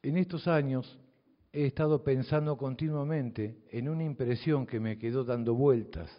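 An older man with a Southern Cone Spanish accent addresses an audience in a measured, reflective way, with deliberate pauses and a provocative edge. His voice sits at a middle pitch and carries a long reverb from a large hall. The sound is a bit dull and muffled. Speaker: speaker, mathematics teacher. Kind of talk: public speech to an audience.

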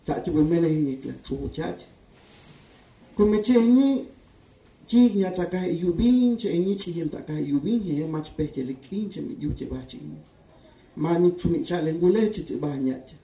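Man with a dark voice, reading from far off. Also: a little high, a little slow. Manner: calm, steady reading voice. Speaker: writer reading his own text. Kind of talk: literary reading at a public event. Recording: echoey room, distant mic.

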